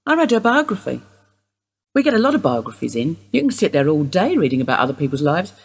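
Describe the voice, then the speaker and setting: A woman acting in a radio play as a weary, evasive older wife under suspicion, husky and rambling in an understated way. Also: low-pitched, sing-song, fast talker, full character voice. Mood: wistful, evasive.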